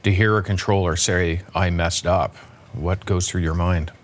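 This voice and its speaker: A man anchoring a broadcast news interview, putting questions in measured, emphatic phrases. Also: low voice, steady tone.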